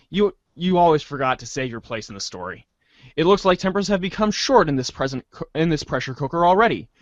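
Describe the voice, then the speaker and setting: A man reads as a storybook narrator with lively swings in pitch. He hesitates and corrects himself as he goes. The recording is dry and slightly muffled. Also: no echo. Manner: hesitant, self-correcting delivery. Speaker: co-host and fill-in narrator. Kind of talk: read-along storybook narration.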